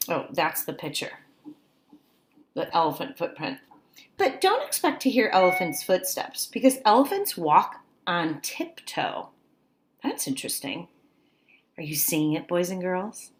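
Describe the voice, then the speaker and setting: A woman teacher reading a picture book aloud to children, expressive and coaxing, pausing to put questions to her young listeners. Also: on the low side, a little hiss or hum.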